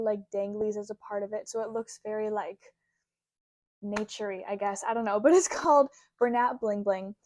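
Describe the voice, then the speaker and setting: A woman's voice: fairly high pitch, darkish tone, no echo. Bubbly young woman chatting over a dry mic. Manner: bubbly and rambling, heavy use of "like". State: getting over a cold, recovering from a sore throat. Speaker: crochet content creator. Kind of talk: casual chatty vlog. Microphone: small clip-on mic.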